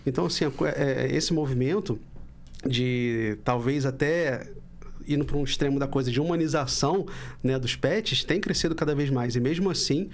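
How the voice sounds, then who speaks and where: A man's voice: mid-range voice, moderate pace, a little hiss or hum. A Brazilian man hosting a podcast, talking in an upbeat, chatty, slangy way. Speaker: podcast host. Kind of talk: casual podcast interview.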